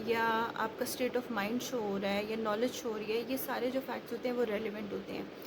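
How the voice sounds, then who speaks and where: Woman explaining law over background noise. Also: on the high side. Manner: conversational, explains with examples, many fillers. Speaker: law lecturer.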